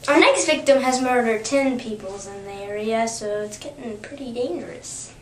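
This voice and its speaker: High-pitched teenage girl, slow-paced. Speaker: amateur video host. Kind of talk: casual chat to camera. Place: small indoor room at home. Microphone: camera's built-in mic at arm's length. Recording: noisy home camera recording.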